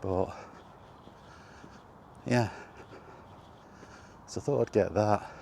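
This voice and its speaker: Middle-aged man, deep mellow voice, casual rambling vlog, offhand, outdoors with faint background noise. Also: very slow, vocal fry. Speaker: video game vlogger. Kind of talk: casual rambling chat while walking. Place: outdoors, walking toward a park.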